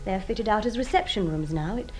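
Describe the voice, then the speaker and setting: A woman's voice: medium pitch, expressive, fast, darkish tone, faint music behind, lightly acted. An actress playing a composed, proud young lady of a wealthy household in a British radio drama, polite, precise and formal.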